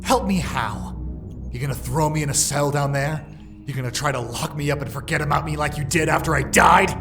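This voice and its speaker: A man's voice: on the high side, brisk, music in the background, close to an everyday voice. A man voicing a bitter, rebellious young antihero in a comic dub, sardonic and accusatory. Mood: pleading, accusing.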